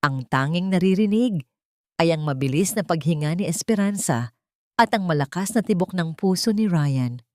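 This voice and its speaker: A low-voiced woman with a Filipino accent narrates a dramatic story. Her delivery is scripted, and the sound is dry with no room to it. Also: animated, moderate pace, darkish tone, no room sound.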